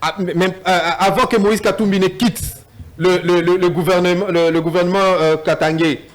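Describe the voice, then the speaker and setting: A man's voice: sits high, steady tone, normal speed. A man with a Congolese French accent, a guest in a TV political debate, mixing French with Lingala and stumbling over repeated words.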